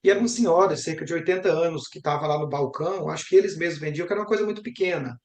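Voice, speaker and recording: Higher-voiced Brazilian man with a creaky edge. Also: very fast. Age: young adult. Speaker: geography professor interviewed about travel. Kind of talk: conversational interview answers, storytelling. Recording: roomy, echoing sound.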